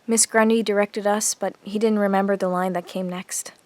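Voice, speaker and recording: A woman's voice: medium pitch, steady tone, moderate pace, no echo, natural voice. An actress playing an earnest teenage girl in a zombie audio drama, confiding and reflective as she narrates her diary. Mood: grieving, reflective. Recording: music under the voice. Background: music.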